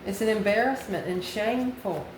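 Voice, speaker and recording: An echoey room with noticeable background noise surrounds a woman of ordinary pitch. She reads tarot as a channel host, speaking directly to the viewer in a folksy, familiar way and repeating phrases for emphasis. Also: normal speed. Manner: folksy, familiar, addresses listener as honey and baby. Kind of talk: tarot reading addressed to viewers.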